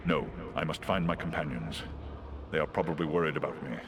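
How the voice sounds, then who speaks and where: An actor playing a noble, wounded talking eagle in a fantasy game, stately and measured, with a very deep, gravelly voice. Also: male voice. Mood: grave, resolute. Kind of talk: fantasy game cutscene acting. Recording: loud background noise.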